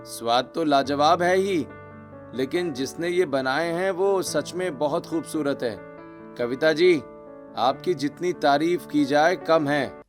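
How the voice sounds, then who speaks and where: Man acting a courteous, higher-voiced young man. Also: takes it slowly, soft background music. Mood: admiring. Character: courteous young man, suitor and his brother. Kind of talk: Hindi animated story character voice.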